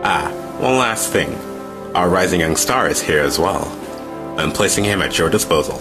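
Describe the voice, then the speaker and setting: A man playing a genial older military ruler in an anime fan dub, measured, formal and commanding. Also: sits low, expressive, music in the background.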